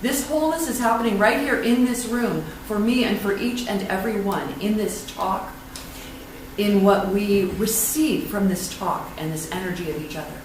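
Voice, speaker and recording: A woman leading a church invocation and readings in a prayerful, unhurried, affirming way. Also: mid-range voice. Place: church sanctuary. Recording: echoey room, far from mic, noisy.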